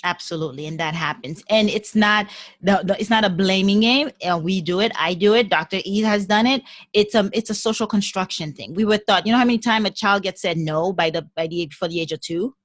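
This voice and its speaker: This is a Haitian-accented woman talking as a podcast guest in an animated, repeating style. Her pitch sits in the middle for a woman. The sound is dry and close, with no room echo. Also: brisk. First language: French and Haitian Creole. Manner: animated, repeats words while thinking. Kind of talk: casual interview conversation.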